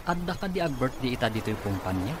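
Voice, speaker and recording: A man's voice: mid-range voice, big pitch swings, fast, background noise, close to an everyday voice. A man acting a nosy, inquisitive office worker in an Ilocano radio comedy drama. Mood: curious.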